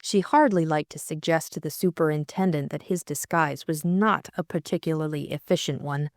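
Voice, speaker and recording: Female audiobook narrator, lower register, measured literary delivery, close dry studio-style sound. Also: no room sound.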